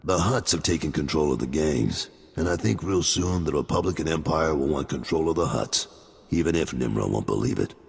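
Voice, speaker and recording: An actor playing a blunt, gruff gangster boss in a video game. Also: male voice, rumbling low, animated, brisk, long reverb tail, heavily acted.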